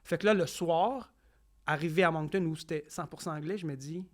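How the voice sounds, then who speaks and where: High-voiced animated Quebec French man. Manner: animated storyteller acting out quotes, quick bursts with restarts. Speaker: comedian guest. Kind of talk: casual podcast interview storytelling.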